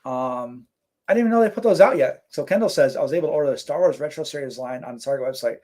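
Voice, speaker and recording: Male co-host, mid-pitched, easygoing, reads chat aloud, filler-heavy. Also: fast. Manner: relaxed, rambling, many fillers. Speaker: co-host reading live chat. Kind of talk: casual live-stream chat.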